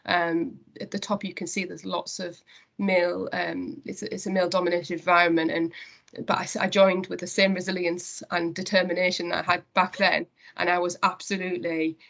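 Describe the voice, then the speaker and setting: A woman's voice: on the low side, flat delivery, a little fast, vocal fry, a bit bright, dull audio. A woman with a Northeast English accent speaking earnestly in a conversational interview as a company director. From Northeast England.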